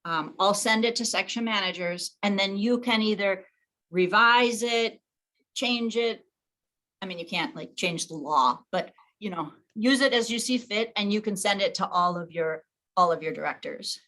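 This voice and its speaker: Woman running an online meeting, bright voice, chatty and working things out as she talks. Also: mid-range voice, normal speed. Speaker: league official leading an online meeting. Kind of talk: informal online meeting talk.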